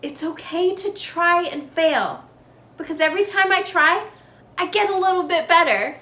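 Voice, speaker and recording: Woman reading as an earnest high-voiced schoolgirl. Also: moderate pace, sharp tone. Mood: encouraging. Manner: earnest, encouraging self-talk. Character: determined, self-encouraging schoolgirl in a storybook. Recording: roomy echo with faint background noise.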